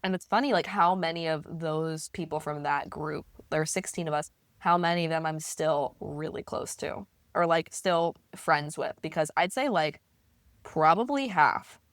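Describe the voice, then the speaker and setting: A woman's voice: sits low, moderate pace, no room sound. A young woman co-hosting a podcast in a casual, chatty way. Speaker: podcast co-host. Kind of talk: casual chat.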